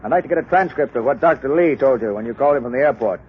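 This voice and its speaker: A tinny, phone-like old radio recording carries a man acting a brisk police commissioner. He talks fast in a hearty, businesslike way, straight out of a 1930s mystery drama. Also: medium pitch, expressive, slight background noise, close to an everyday voice. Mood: brisk, genial.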